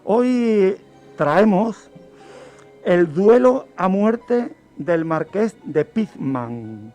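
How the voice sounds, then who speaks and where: Male radio crime expert, high register, deliberate pacing, explanatory and conversational. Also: expressive, a little mellow. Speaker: guest criminologist presenting a crime segment. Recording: music bed under the voice. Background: background music.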